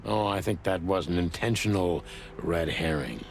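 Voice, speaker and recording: Deep world-weary old rooster detective. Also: male voice, expressive, normal speed, vocal fry, a little hiss or hum. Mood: grim, cynical. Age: older. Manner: dry, brooding, hardboiled. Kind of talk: film noir game voice acting. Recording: music behind the voice.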